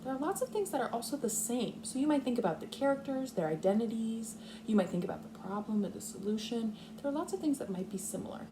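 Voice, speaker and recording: A woman's voice: on the high side, sing-song, fast, background noise. A woman speaking as a kindergarten teacher, explaining and thinking aloud step by step in a read-aloud lesson for young children.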